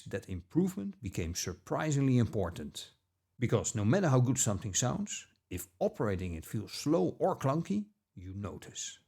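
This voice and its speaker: A man narrating a scripted product review as an audio gear reviewer, with measured, deliberate phrasing. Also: mid-range voice, gravelly, mellow, breathy edge.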